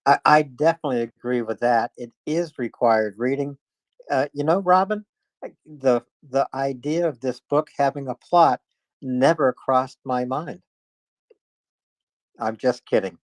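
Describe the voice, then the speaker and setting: A man hosting a live online discussion, speaking casually in a halting, hesitant way. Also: medium pitch, no room sound. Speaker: host of a live audio discussion space. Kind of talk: casual announcing, chatting with guests. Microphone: live online audio chat.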